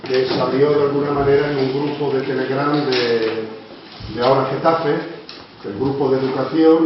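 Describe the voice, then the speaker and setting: A speaker welcoming people to a public talk, halting and filling gaps with "bueno, pues". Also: mid-range voice. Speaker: association organizer opening the event. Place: meeting room of a local association. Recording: echoey, muffled room recording from a distant mic with loud noise.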